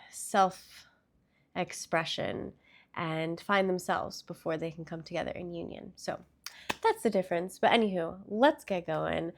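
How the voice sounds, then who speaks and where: Sniffly woman chatting through a tarot reading. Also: on the low side. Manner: chatty, upbeat, with fillers and little laughs. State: slightly congested from a cold. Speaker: tarot reader. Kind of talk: casual tarot reading to camera.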